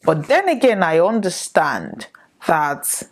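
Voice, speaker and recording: A woman's voice: fairly low pitch, sing-song, takes it slowly. A woman from northern Nigeria with a Nigerian accent, hosting a solo podcast and giving her views in a reflective way, full of rhetorical questions. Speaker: podcast host. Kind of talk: solo opinion commentary to camera.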